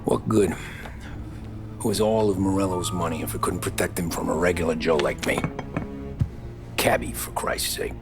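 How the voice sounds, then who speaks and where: An actor playing a street-hardened 1930s American gangster, loyal but troubled, speaking in a brooding, plain-spoken wiseguy way in a cinematic game. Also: male voice, sits low, fairly even intonation, normal speed, pauses often. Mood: brooding, uneasy. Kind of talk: cinematic video game acting, confessional narration and dialogue. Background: background music.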